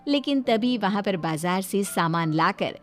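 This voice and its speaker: A woman's voice: mid-range voice, expressive, unhurried, faint music behind. A woman reading scripted narration for a cartoon story, as its storyteller.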